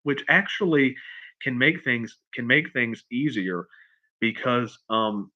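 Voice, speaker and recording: A man chatting casually on a livestream as a writer answering questions, in a halting, rambling way, often repeating and restarting his phrases. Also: mid-range voice, expressive, dull audio. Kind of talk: casual unscripted chat, answering viewer questions.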